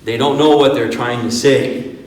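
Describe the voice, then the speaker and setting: A man's voice: mid-range voice, steady tone, normal speed. A man, an imam, giving an informal Quran workshop lecture, conversational and full of rhetorical questions, with Arabic pronunciation shown along the way. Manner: conversational, asks rhetorical questions like "right?" and "okay?". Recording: echoey hall, speaker far from the mic.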